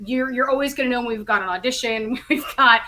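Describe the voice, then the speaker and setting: A woman's voice: a little high, fast, bright, forceful tone. A woman talking casually as an interview guest, explaining things in a chatty, enthusiastic way. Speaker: guest, audio drama writer and co-creator.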